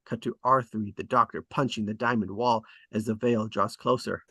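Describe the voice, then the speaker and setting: Low-voiced man reading stage directions, very dry sound. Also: fairly even intonation, normal speed, bright, forceful tone, slightly rough, dry recording. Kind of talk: scripted narration at a table read.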